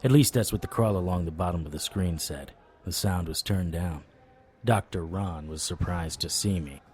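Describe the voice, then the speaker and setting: An actor playing a wry, tough supernatural private investigator in a noir audio drama, with a deadpan, sardonic delivery. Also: male voice, sits low, moderate pace, slightly rough. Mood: wry narration.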